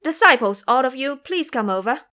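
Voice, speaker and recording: A woman doing a gentle pious master monk. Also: mid-range voice, big pitch swings, moderate pace. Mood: pleading. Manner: pleading, earnest.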